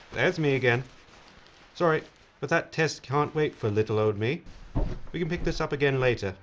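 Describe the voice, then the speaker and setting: A man's voice: fairly high pitch, lo-fi sound, close to an everyday voice. A man acting a smug, glib corporate boss in an audio drama, with an unctuous, folksy sales patter. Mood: glib, self-justifying. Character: smug, glib male corporate boss of an ice-mining venture.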